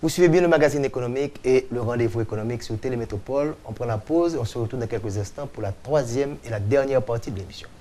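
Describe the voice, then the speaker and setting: A man's voice: mid-range voice, fast talker, few pauses, warm tone. A man hosting an economics show, formal and articulate in his questions. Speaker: TV host. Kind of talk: broadcast interview.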